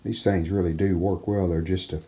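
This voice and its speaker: A man giving casual, plainspoken, unhurried narration of hands-on workshop work, like a DIY video host. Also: low voice, steady tone, mellow. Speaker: host of a restoration channel.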